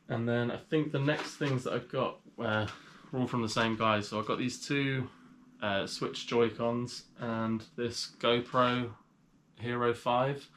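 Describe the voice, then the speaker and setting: A man with a cold and a slightly nasal voice, chatting casually in a vlog as he shows items to the camera. He rambles in a relaxed way with frequent pauses. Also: on the low side, a little hiss or hum. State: has a cold. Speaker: reseller vlogger.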